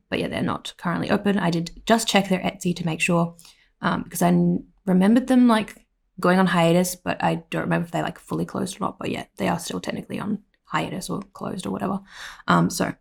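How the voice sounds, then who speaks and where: A woman with an Australian accent, slightly hoarse, giving a rambling voiceover at home full of "like" and "um". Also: lowish voice. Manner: rambling, with many "like" and "um" fillers. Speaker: planner vlogger. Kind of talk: casual voiceover narration.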